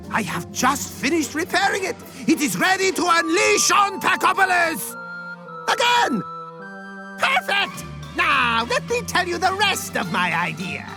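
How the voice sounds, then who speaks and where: Wildly swooping, high-pitched cartoon villain. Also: male voice, full character voice. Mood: excited. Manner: bombastic, swooping between mutters and shrieks. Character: theatrical, short-tempered, conceited villain ghost schemer. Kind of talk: animated game cutscene acting. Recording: loud music behind the voice.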